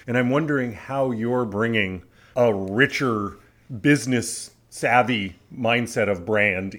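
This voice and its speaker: A man's voice: medium pitch, a bit bright. A man hosting an interview, asking analytical, carefully worded questions in a conversational way. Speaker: interviewer, podcast host.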